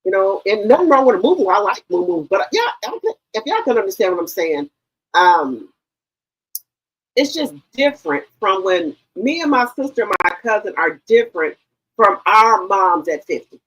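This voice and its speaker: A husky-voiced woman in her fifties hosts a gossip livestream, talking straight to her viewers in a chatty, familiar way. Her pitch swings widely as she talks. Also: sing-song, moderate pace, a bit bright, low-quality recording. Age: 50s. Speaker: livestream host.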